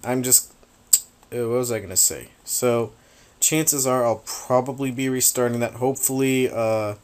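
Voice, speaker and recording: A man giving rambling, casual commentary over a video game battle, swearing offhandedly, his voice getting raspy from talking a long time. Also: medium pitch, normal speed, a little mellow.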